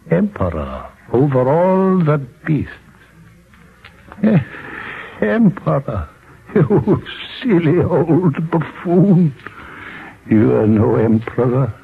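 A ruined old man muses aloud in bitter self-mockery. His theatrical speech crawls along in drawn-out phrases with a gravelly creak. It comes from a muffled 1940s radio drama broadcast, with music faintly present. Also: mid-range voice, full character voice. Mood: wry, musing.

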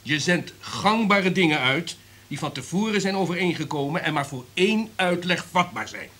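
An actor playing an imperious, irritable top executive in a radio drama, lecturing in a domineering, emphatic way. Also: male voice, high voice, expressive, moderate pace, bright, forceful tone, husky, heavily acted. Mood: lecturing, commanding.

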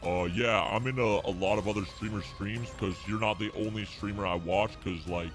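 A very low, artificially deepened voice of a cocky male gamer, put on by a man. He drawls boastful trolling banter through a voice changer with a feigned calm, and music plays clearly behind him. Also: moderate pace. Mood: deadpan. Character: cocky, slow-talking male gamer with disguised deep voice. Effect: voice changer pitched down. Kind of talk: trolling banter over game voice chat.

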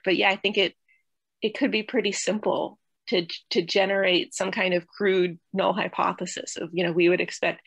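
A woman's voice: on the low side, gravelly, fairly bright tone, no echo. Young woman reasoning aloud, dry close sound. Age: young adult. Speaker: researcher asking a question. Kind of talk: thoughtful academic discussion. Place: indoors, on a video call. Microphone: video call computer mic.

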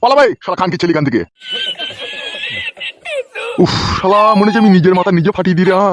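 A man's voice: sits high, quick pace, lightly acted. A man voicing an exasperated, foul-mouthed village father-in-law in a comedy cartoon. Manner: blustering, exasperated. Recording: muffled audio with music underneath.

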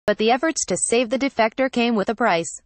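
A woman reading a scripted news report in an even, flat delivery. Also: on the high side, a little fast, dry recording. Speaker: news reader.

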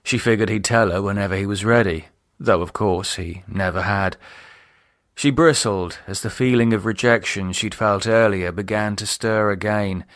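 A man's voice: lowish voice, a bit bright, no echo, studio quality. A man with a British accent reading a novel aloud as scripted dramatic narration. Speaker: audiobook narrator and author.

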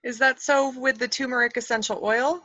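A woman's voice: fairly high pitch, steady tone, brisk, pushed and bright, no echo. A woman in her forties chatting with enthusiasm on a video call from her kitchen, with plenty of ums. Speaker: host. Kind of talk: casual chat. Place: home kitchen at night. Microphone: webcam on a video call. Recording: muffled webcam audio.

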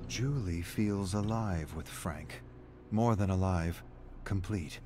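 A man's voice: low voice, slow, over music. A man reading a scripted, dramatic story narration as the narrator. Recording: background noise under game narration.

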